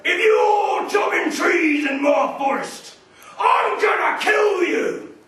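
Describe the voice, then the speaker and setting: A man shouting out a towering, brutish troll in a spoken fairy tale, roaring threats at a woodcutter. The voice comes from well back from the mic in a roomy, echoing space. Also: extremely high pitch, takes it slowly, a faint crowd, voice pushed up, full character voice. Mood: bellowing threat. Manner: bellowing threats. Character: huge brutish male forest troll, menacing. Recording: roomy, distant mic, faint ambience.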